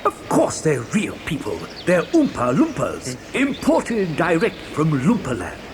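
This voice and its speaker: A man's voice: on the high side, wildly swooping pitch, unhurried, background noise. A man playing an eccentric, gleeful chocolate factory owner in an audio dramatisation, effusive, stammering and theatrical. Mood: eager storytelling.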